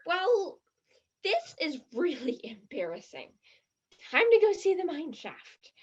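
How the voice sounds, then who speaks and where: Woman playing shy fibbing teen girl, high husky voice, swinging intonation, dreamy, defensive, stage play. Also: wildly swooping pitch, slow pace, highs cut off, heavily acted. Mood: embarrassed, defensive.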